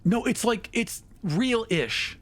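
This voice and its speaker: A man's voice: very high-pitched, expressive, takes it slowly, a bit bright, pitched above the performer's own voice, heavily acted. A man playing an overexcited, stammering man in an improvised tabletop game, urgently insisting that magic is real. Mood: excited reassurance. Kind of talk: improvised tabletop roleplay dialogue. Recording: music behind the voice.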